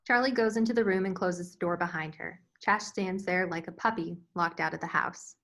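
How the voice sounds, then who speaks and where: A woman's voice: on the low side, fairly even intonation, fairly quick, vocal fry, a bit bright. A woman reading scene directions as scripted narration at a screenplay table read. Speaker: stage directions reader.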